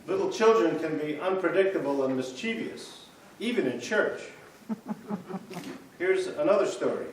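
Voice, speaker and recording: A man reads scripted stories in a measured, storytelling manner, his voice sitting a little high. He is picked up from far off in a big, echoing church. A faint hiss runs underneath. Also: lively intonation. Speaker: reader's theater performer. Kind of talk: scripted reading of church history. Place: church sanctuary.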